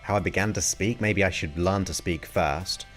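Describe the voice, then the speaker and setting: Man, 40s, deep voice, relaxed live Q&A host, chatty, reads questions aloud. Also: moderate pace, faint music behind. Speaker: hypnotist host answering viewer questions. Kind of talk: casual live-stream Q&A chat.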